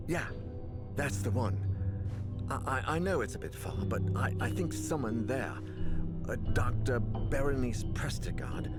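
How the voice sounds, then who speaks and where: An actor playing a weary, desperate investigator in a game drama, hesitant, urgent and pleading. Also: male voice, medium pitch, close to an everyday voice. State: weary, weak. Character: exhausted, desperate male investigator losing his sanity.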